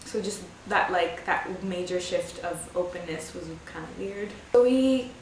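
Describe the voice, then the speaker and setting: A woman's voice: fairly low pitch, unhurried, slight background noise. A woman in her twenties from Egypt talking casually and informally in a documentary interview. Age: 20s. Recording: very echoey room, distant mic.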